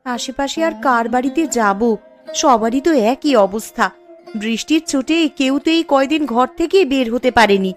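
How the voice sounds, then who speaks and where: A woman plays a poor village wife and mother in a cartoon tale. Her voice is high-pitched, and she speaks in a fretful, complaining manner. Light music plays behind her. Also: expressive, normal speed. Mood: fretful, worried. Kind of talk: cartoon story dialogue.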